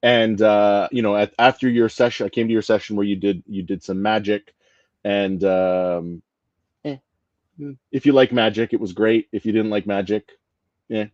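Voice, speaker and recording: A man's voice: on the low side, fairly even intonation, normal speed, darkish tone, no room sound. A man hosting a podcast, chatting casually and joking, with many restarts and fillers. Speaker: podcast host. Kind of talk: casual interview chat. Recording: dry, dull lo-fi sound.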